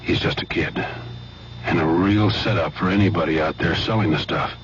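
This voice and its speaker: A man's voice: low-pitched, normal speed. A man reading a scripted radio public service announcement, sober and speaking directly to the listener. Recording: muffled old radio broadcast, faint hum.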